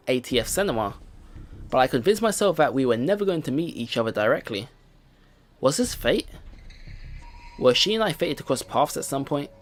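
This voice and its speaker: A man doing a let's play, reading visual novel narration aloud in an expressive storytelling style. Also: mid-range voice. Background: game music.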